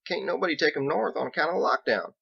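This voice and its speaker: A man's voice: a little high, lively intonation, fast talker, creaky, fairly bright tone, breathy edge, no echo, highs cut off, voice pushed up, exaggerated voice. A man voicing a folksy, blunt young small-town sheriff with a drawl in a storybook reading. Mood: worked up.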